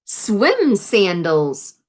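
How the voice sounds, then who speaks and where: A woman reading a children's picture book aloud in an expressive, instructive way, adding explanations for young listeners. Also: mid-range voice, drawn-out words. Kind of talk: read-aloud storytelling with explanatory asides to children.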